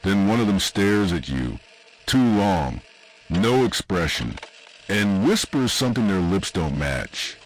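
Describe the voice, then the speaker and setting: Deep, slow male horror narrator. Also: big pitch swings, a little hiss or hum, rough recording. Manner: ominous, deliberate, short dramatic phrases with pauses. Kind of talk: scripted second-person analog horror narration.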